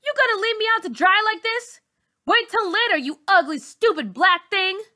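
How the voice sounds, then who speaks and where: A woman's voice: very high-pitched, moderate pace, pushed and bright, pitched above the performer's own voice. A woman voicing a threatening, scornful teenage bully girl in an audiobook reading, with a taunting manner. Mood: scornful, angry. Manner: menacing, taunting.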